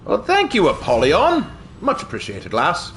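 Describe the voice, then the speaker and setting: Man putting on a pompous posh British gentleman. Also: on the high side, huge pitch swings, measured pace, soft background music, exaggerated voice. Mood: gruff boastful. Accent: put-on posh British. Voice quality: hammy, swearing, self-mocking. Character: pompous, snobbish, violent old-school British gentleman. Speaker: game streamer. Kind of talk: live gameplay commentary in character.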